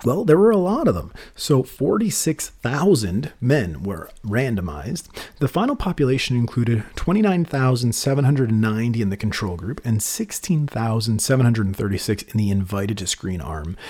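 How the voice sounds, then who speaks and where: A man's voice: medium pitch, breathy edge. A man, a physician, explaining research papers in a casual podcast chat, peppered with "you know". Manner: lively, explanatory, many you-knows. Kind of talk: casual medical journal discussion.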